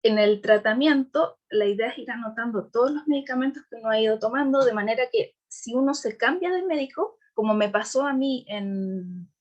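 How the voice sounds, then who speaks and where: Chilean woman giving a halting talk. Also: fairly high pitch, muffled recording. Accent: Chilean Spanish. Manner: hesitant with frequent fillers and restarts; candid, laughs while telling her story. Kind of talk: informal presentation on a video call.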